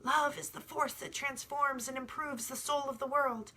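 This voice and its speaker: Woman reading as an earnest, high-pitched young boy. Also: steady tone, moderate pace, sharp tone, rough recording. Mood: passionate. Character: earnest, bold young boy, philosophical dreamer.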